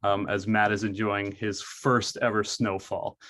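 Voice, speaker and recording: A man's voice: on the low side, flat delivery, unhurried, a bit bright. A young man from Maine, a college student giving an earnest prepared presentation, with frequent fillers and restarts. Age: young adult.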